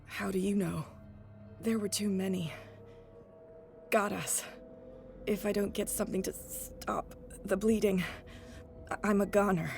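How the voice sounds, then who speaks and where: A woman's voice: medium pitch, fairly even intonation, a little slow, gravelly, darkish tone, soft background music. An actress playing a tough, terse woman who is wounded, weakened and in pain, in a video game. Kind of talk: video game cutscene acting.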